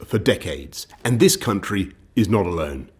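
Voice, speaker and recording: A man's voice: low-pitched, expressive, moderate pace, breathy edge. A man delivering a formal public address as a public official.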